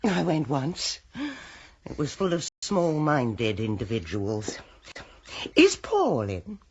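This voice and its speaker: A woman's voice: rumbling low, huge pitch swings, slow, a little mellow, slightly rough, muffled recording, full character voice. An actress playing a snobbish, disdainful woman dog owner in a radio drama, drawling and gushing over her pet. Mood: haughty, dismissive.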